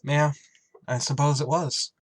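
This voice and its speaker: An actor playing a somber, haunted shuttle pilot in an audio drama, grave and restrained. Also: male voice, fairly high pitch, steady tone, unhurried, dry recording. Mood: subdued, regretful. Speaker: lead actor and narrator.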